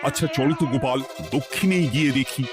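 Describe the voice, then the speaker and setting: A man's voice: medium pitch, fast talker, music in the background, lightly acted. A man voicing a middle-aged cartoon king who gives orders in a regal, commanding way. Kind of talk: cartoon voice acting.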